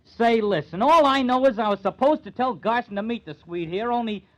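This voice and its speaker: A man's voice: extremely high pitch, brisk, fairly bright tone. A man playing an eager, cocky baseball hanger-on in an old-time radio crime drama, slangy and defensive. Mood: flustered, defensive. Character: eager, cocky male hanger-on, baseball team's number one fan. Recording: dull, band-limited old radio recording.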